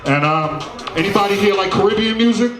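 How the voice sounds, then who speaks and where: A man's voice: sits high, fairly quick, echoey room, loud music behind the voice. A man from Trinidad giving a loose, grateful acceptance speech as a DJ honoree, full of fillers.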